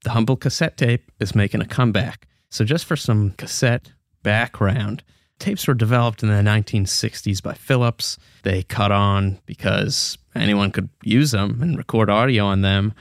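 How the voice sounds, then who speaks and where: A man's voice: on the low side, normal speed, vocal fry, no room sound, studio quality. A young American man co-hosting a podcast with conversational news commentary. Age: young adult. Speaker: podcast co-host.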